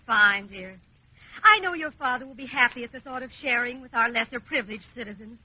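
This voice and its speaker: An actress playing a placid, patient middle-aged wife and mother with an American accent in a vintage radio comedy, genteel and gently chiding. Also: a little high, fairly quick, husky, no room sound. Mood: gentle. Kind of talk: 1950s American radio sitcom acting. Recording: muffled old radio recording.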